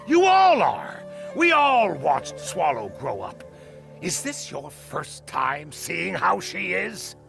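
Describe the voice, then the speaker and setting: A bitter, hard-nosed game character, with a fairly high male voice and gravelly creak. He is scornful and theatrical, swinging widely in pitch as he challenges his leader, with music clearly behind him. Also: full character voice. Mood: heated, accusing. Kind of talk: dramatic video game voice acting.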